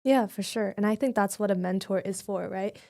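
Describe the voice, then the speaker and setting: A woman's voice: mid-range voice, brisk, gravelly, dark tone. A teenage girl co-hosting a student podcast, chatting sincerely and reflectively. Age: teens. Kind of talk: casual podcast chat.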